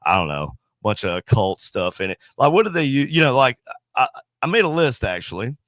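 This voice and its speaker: A man's voice: mid-range voice, brisk, dry recording. Nasal, congested man rambling on a podcast. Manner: rambling, joking, animated shout-outs to viewers. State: congested from a sinus cold. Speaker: podcast co-host. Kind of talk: casual chat.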